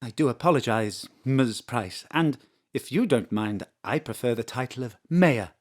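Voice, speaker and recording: An actress playing a brisk female city mayor who speaks formally and politely, then bluntly. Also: a bass voice, expressive, darkish tone. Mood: businesslike. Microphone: home studio mic.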